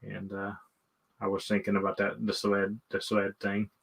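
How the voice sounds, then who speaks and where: A man's voice: on the low side, monotone, normal speed, gravelly, a bit bright, a little hiss or hum. A man with an American accent hosting a casual chat, asking questions in a folksy, plain-spoken way. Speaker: live stream host.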